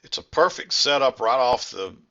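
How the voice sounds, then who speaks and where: A middle-aged man with a hoarse, congested voice from a head cold, explaining chart trades in a rambling, self-correcting way. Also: mid-range voice, animated, moderate pace, pushed and bright, muffled recording. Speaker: trading educator. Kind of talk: screen-share trading recap.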